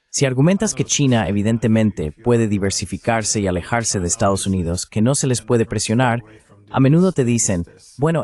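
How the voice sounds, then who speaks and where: A man voicing a translated interview as the interviewer, speaking in a measured, analytical manner. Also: fairly low pitch, a little mellow.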